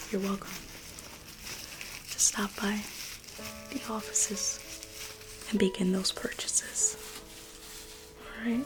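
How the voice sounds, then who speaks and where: A woman in an ASMR roleplay, playing a private museum guide in a slow, coaxing whisper that repeats phrases. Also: low-quality recording. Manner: coaxing sales-pitch tone.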